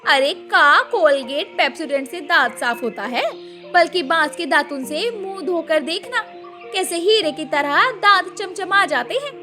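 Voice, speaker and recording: A woman acts a naive but resourceful young village wife in a cartoon tale. Her voice is extremely high and bright, with a rural eastern Hindi dialect and eager, folksy exclamations, over music. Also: expressive, measured pace, full character voice. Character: rustic village daughter-in-law Lajo, naive and resourceful. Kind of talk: animated moral-story cartoon dialogue.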